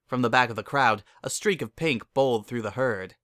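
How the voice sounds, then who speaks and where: A man's voice: mid-range voice, brisk, bright, forceful tone, no echo, studio quality. A man narrating a scripted dramatic reading of a story.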